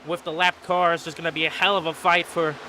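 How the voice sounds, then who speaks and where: Man, stuffy from a cold, excitable play-by-play caller; high voice; loud engine noise behind. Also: fairly quick. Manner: excitable, rises in pitch at restarts and wrecks. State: stuffy, a little sick. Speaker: race commentator. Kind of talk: sim racing play-by-play commentary.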